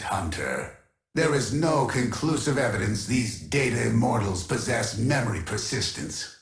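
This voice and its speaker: A man's voice: mid-range voice, creaky. A man voicing a cold, imperious keeper of a data sanctuary in a science-fiction game, formal, clinical and commanding, with echoing processing on the voice. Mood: cold, philosophical. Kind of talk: scripted video game character voice acting.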